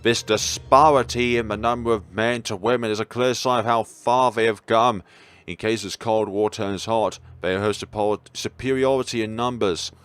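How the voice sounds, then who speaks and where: A man voicing a paranoid, conspiracy-obsessed male high school student in a visual novel, making ominous, earnest pronouncements. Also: fairly even intonation, normal speed, fairly bright tone, smooth, no echo, lightly acted. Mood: grave, conspiratorial. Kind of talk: visual novel character reading.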